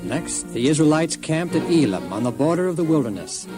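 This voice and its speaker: Male narrator, fairly high voice, solemn dramatic storytelling, children's Bible audio story, music underneath. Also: sing-song, a little fast.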